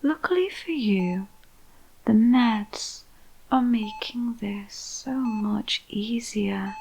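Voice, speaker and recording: A woman playing a clinical female vampire scientist in an ASMR roleplay, with a whispery, breathy voice and deliberate delivery. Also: a little high, darkish tone, exaggerated voice, poor audio. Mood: hushed, enticing. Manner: slow, soothing, deliberate. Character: calm, clinical female vampire scientist overseeing a sinister experiment.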